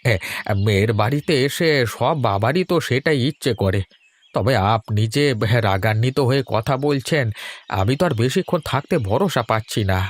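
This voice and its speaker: A man's voice: mid-range voice, expressive, moderate pace, natural voice. A man playing a deferential village father of a bride in an audio drama, speaking politely. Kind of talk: Bengali audio story drama acting.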